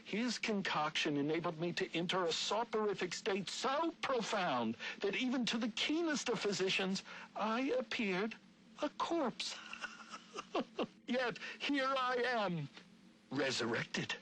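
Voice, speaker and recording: An actor playing a vengeful nineteenth-century gentleman schemer narrating his journal in a game, pompous, florid and melodramatic. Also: sits high, big pitch swings, slow, a little hiss or hum, exaggerated voice, rough recording. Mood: manic glee. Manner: pompous, florid, melodramatic, with cackling and hushed menace. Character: gleefully vengeful 19th-century gentleman schemer, theatrical diarist.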